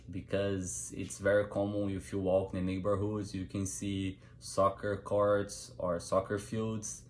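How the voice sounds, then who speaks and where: Low-voiced young Brazilian man. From Brazil, São Paulo state. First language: Portuguese. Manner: simple non-native English phrasing. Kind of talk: informal informative speech. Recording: clear background noise.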